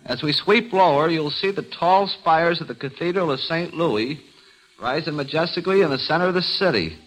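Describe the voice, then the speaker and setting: A man's voice: fairly high pitch, expressive, moderate pace, fairly bright tone, poor audio. A man presenting a scripted travel quiz as a guest quizmaster on an old radio broadcast.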